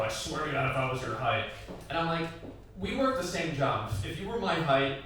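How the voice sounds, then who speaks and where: A man's voice: medium pitch, a bit bright, recorded from a distance, a little hiss or hum. A young man in his twenties doing a stand-up set, rambling and conversational, full of "like"s and acted quotes. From Iraqi family, raised in the Midwest. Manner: loose, conversational storytelling with many "like"s and acted quotes. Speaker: stand-up comic. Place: tavern comedy room. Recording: echoey bar room recorded from afar.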